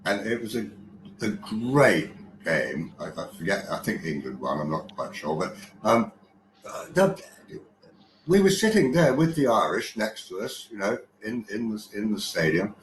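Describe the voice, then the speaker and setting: Lively-voiced man in an echoey room. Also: on the low side, measured pace. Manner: reminiscing, meandering. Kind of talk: casual chat. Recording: roomy echo with faint hiss.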